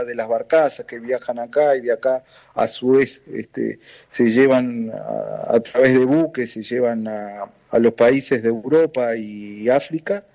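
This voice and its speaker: A Uruguayan man with a Rioplatense Spanish accent, speaking as an interview guest and running a transport company. His voice is mellow and dark, and he explains at length with plenty of fillers and restarts. The line sounds muffled and lo-fi. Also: medium pitch. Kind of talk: interview guest, phone or remote call.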